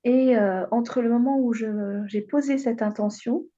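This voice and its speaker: A woman's voice: on the high side, a little slow, dark tone, highs cut off. A woman in her thirties from Réunion, telling her personal story as a radio guest, hesitant with many fillers. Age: 30s.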